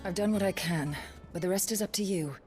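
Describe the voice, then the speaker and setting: An actress playing a stern but caring healer in a video game, with a matter-of-fact manner. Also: female voice, mid-range voice, a little fast, over music, natural voice. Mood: concerned, firm. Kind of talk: dramatic video game cutscene acting.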